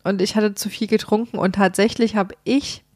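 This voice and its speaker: A woman's voice: medium pitch, steady tone, normal speed, a little mellow, no room sound, studio quality. Giggly young woman with a light dialect. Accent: German regional dialect. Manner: giggly, self-mocking storyteller. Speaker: podcast co-host. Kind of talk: casual chat.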